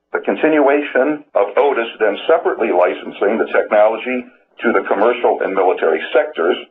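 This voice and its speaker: An older man, a chief executive, reading a prepared corporate statement in a formal way over a telephone. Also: mid-range voice. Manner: formal, scripted delivery. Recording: tinny phone line with background noise.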